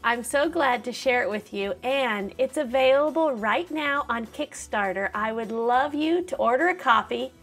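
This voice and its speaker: A middle-aged woman reading a children's picture book aloud to preschoolers in an expressive, deliberate storytelling manner. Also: fairly high pitch, soft background music. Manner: animated, deliberate storytelling with dramatized sound words.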